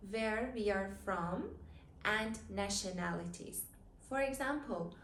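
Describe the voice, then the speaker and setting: Very slow, roomy-sounding woman teacher. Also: fairly high pitch, a bit bright. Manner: very slow, clearly spaced words for learners. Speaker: English teacher. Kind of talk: slow scripted vocabulary lesson. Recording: roomy echo, faint background noise.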